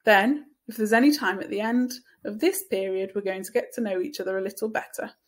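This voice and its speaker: A woman reading a story and voicing a brisk, no-nonsense female schoolteacher who firmly instructs a class. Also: medium pitch, steady tone, close to an everyday voice. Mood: brisk, instructing. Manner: firm, instructing, loud classroom voice.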